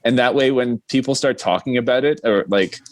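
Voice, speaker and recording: A man's voice: mid-range voice, brisk, no room sound. A man chatting casually as a podcast guest, talking in a rambling, self-deprecating way.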